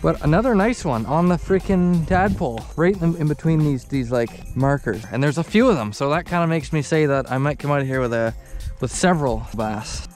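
A man's voice: on the high side, animated, fairly quick, darkish tone, faint music behind. A young man, filming himself from a small boat on a lake and chatting casually about his catches in a goofy, self-mocking way. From Canada. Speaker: fishing vlogger. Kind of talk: casual self-filmed commentary. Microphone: action camera.

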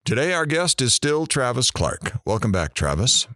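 A man's voice: medium pitch, sing-song, moderate pace, sharp tone, slight background noise. A man playing a sarcastic, irreverent talk radio host in a comedic audio drama, with showy DJ patter. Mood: sardonic, showy.

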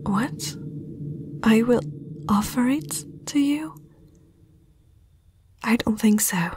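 A woman playing a young princess, haughty yet spellbound, in a breathy, hesitant whisper for an ASMR fantasy roleplay. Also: fairly high pitch, noisy background. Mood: hesitant, tempted. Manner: slow, hesitant, dreamy delivery.

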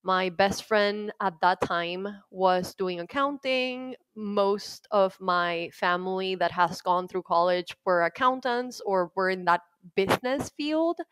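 Slow, reflective woman. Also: mid-range voice, clean tone, dry recording. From Puerto Rico. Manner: reflective, soft-spoken, pauses to search for words. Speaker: interview guest. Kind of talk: personal life story.

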